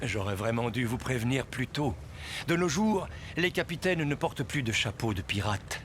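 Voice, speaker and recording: A man voicing a calm, polite adult in charge, composed and courteous, in a French cartoon dub. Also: medium pitch, moderate pace, a little hiss or hum, lightly acted. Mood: reassuring.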